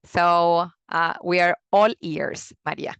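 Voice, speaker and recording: A woman's voice: fairly low pitch, slow, vocal fry, a bit bright, clear, resonant tone, no room sound, dull audio. A woman moderating an online talk, hesitant and full of uhs. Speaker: program coordinator, moderator.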